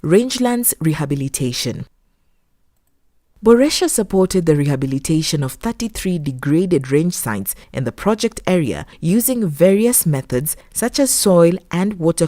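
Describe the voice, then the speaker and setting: A woman reading scripted documentary narration in a measured, formal manner. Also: low-pitched, dark tone, no room sound, studio quality.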